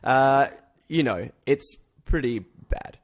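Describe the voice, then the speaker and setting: Guy podcast host, mid pitch, chatty and jokey, loose film talk. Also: lively intonation, takes it slowly. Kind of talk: casual chat about films.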